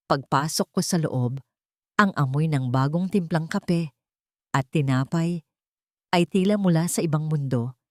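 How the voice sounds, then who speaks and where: A woman's voice: fairly low pitch, darkish tone, no echo, clean studio sound. A woman reading a scripted, first-person dramatic story as its narrator.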